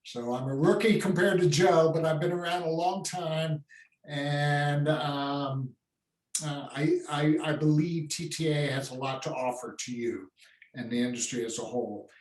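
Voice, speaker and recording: A man's voice: on the high side, measured pace. An older man, head of a trade association, talking plainly to members on a conference call, with many restarts. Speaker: association CEO. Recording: echoey and distant from the mic.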